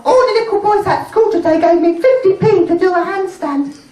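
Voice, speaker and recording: An excitable little girl of five as a male comic puts her on, cheeky and curious in a stand-up act. The voice jumps high and childlike. It sounds roomy and distant from the microphone. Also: a little fast. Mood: excited. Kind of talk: character voice in a stand-up routine.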